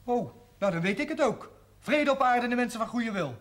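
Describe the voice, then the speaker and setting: A man's voice: very high voice, lively intonation, fairly quick. An actor playing a defiant, resentful young working man in a television drama, blunt and combative. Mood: angry. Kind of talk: 1970s television drama acting.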